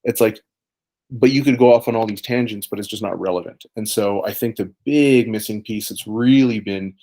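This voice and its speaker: A man with a somewhat low voice hosts a fitness podcast. He talks with his guest in a keen, loose way and often says "like" and "you know". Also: normal speed, mellow. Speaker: podcast host, fitness coach. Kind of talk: casual podcast interview.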